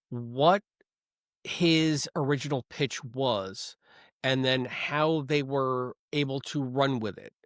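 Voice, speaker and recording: A man's voice: mid-range voice, no room sound, clean studio sound. A man co-hosting a casual podcast, thinking aloud and wondering about questions. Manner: thoughtful, wondering aloud, reads listener comments. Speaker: podcast co-host.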